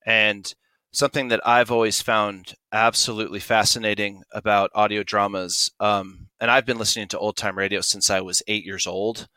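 A man's voice: lowish voice, flat delivery, normal speed, pushed and bright, clean tone, no echo. A man in his forties speaking conversationally as an interview guest, explaining his creative work with enthusiasm. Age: 40s. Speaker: guest, audio drama producer.

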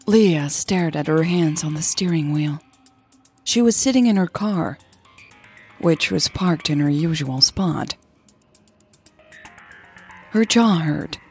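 A woman narrates like a podcast storyteller, in a lowish, slow-paced voice. Her delivery is measured and breathy, with a gravelly creak at the edges. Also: darkish tone. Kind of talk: scripted narration of audio fiction.

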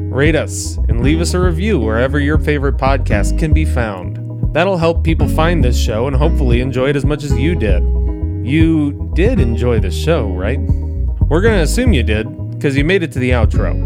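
A man reading a scripted comic podcast outro as announcer, in a dry, deadpan manner. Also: medium pitch. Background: guitar music.

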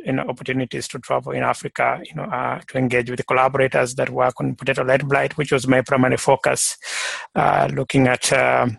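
A middle-aged man from Kenya, a plant pathologist being interviewed on a podcast, talking in a halting way with many fillers and restarts. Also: mid-range voice, creaky, a bit bright, no echo. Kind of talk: conversational interview.

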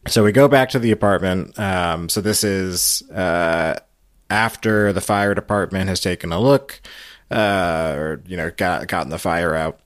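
A man's voice: low-pitched, measured pace, dry recording, clean studio sound. A man hosting a recap podcast in casual, hesitant, rambling chat. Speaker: podcast host.

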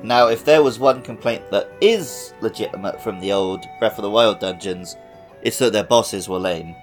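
A man's voice: fairly low pitch, moderate pace. A man co-hosting a video game podcast, giving casual commentary. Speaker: podcast co-host. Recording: music playing under the voice. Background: background music.

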